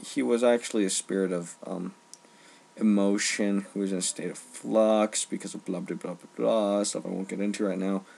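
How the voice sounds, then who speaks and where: A man with a fairly low voice gives an informal rant. His delivery is emphatic but halting, and he repeats phrases to drive points home. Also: fairly even intonation, vocal fry, a little mellow. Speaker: online ranter.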